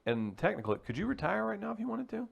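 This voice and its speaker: A man's voice: high-pitched, very animated, fast talker, vocal fry, breathy edge. A man hosting a casual interview podcast, talking loosely with lots of fillers. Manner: loose and chatty, full of "like" and fillers. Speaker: podcast host.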